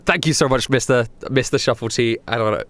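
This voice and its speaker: A man's voice: medium pitch, fast, fairly bright tone. A man co-hosting a podcast, enthusiastic and a little hesitant, with many fillers. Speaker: podcast co-host. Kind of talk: casual podcast chat.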